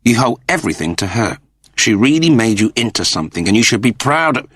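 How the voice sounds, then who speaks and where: A man voicing an awkward, self-doubting middle-aged father in an audiobook, halting and earnest. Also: fairly low pitch, big pitch swings, fairly bright tone, dry recording, studio quality. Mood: earnest, insistent. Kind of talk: dramatised audiobook dialogue.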